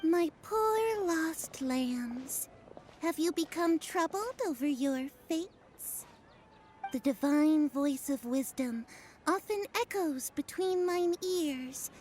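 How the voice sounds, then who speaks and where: A woman voicing a theatrical, mystical fortune teller in a video game, with a grandiose, archaic, drawn-out delivery. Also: high voice, animated, darkish tone, slight background noise. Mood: mystical, portentous.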